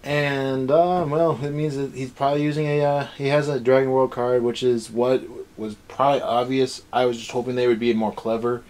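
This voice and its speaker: A man's voice: medium pitch, flat delivery, normal speed, few pauses, darkish tone. A young man hosting a casual review chat, rambling along in an exasperated way. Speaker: review show host. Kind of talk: casual rant-style episode review chat.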